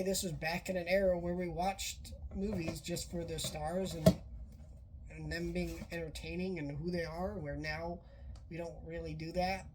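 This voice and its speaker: A woman with a lowish voice who is sick, and her voice sounds off. She reviews a film in a loose, rambling way, full of "um"s and restarts. Faint room ambience sits behind her. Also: a little mellow, slight background noise, low-quality recording. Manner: rambling, many filler words and restarts. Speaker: movie reviewer. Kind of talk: casual spoken film review.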